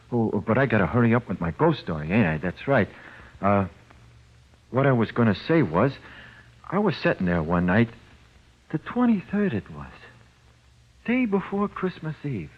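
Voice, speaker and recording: An actor playing a frightened, childlike condemned prisoner with slangy, uneducated speech in 1940s radio drama style. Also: male voice, lowish voice, exaggerated voice. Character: frightened, childlike condemned male convict awaiting execution. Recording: muffled, dull old radio recording.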